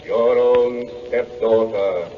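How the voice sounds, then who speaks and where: An actor playing the solemn spirit of a magic mirror, intoning verse in an old radio drama style. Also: male voice, medium pitch, steady tone, extremely slow, faint music behind. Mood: solemn, revealing. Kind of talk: 1930s radio acting. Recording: tinny, faintly noisy old radio broadcast.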